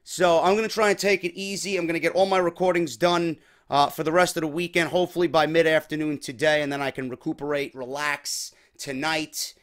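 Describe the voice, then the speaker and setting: A young man, his voice slightly worn, hosting a casual wrestling talk show from a small home room on a desk microphone, energetic and chatty. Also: a little high, a little fast. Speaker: podcast host. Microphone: desk mic on boom arm.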